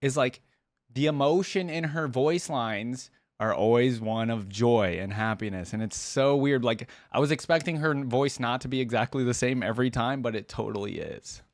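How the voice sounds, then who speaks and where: A man's voice: mid-range voice, moderate pace, no room sound. Dry-sounding man, casual reaction host. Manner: conversational, musing aloud, addressing viewers.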